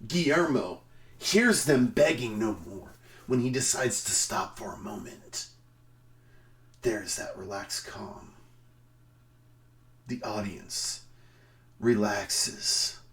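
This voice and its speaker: A man giving a dramatic reading on a stream, theatrical in delivery, swinging between emphatic outbursts and hushed, drawn-out phrases. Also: on the low side, lively intonation, far from the mic. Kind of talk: dramatic reading of a written review.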